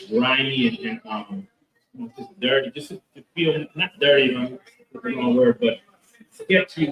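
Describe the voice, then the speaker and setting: A man's voice: mid-range voice, far from the mic. Rambling man in an echoey convention hall. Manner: rambling, many fillers and restarts. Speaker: comic book creator, guest. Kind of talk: casual interview at a convention. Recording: roomy echo, from off mic.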